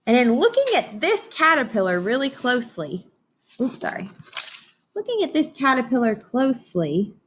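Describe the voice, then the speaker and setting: A woman's voice: fairly high pitch, big pitch swings, normal speed, slight background noise. A woman teaching young children in an interactive read-aloud lesson, encouraging and instructional, often putting questions to her listeners.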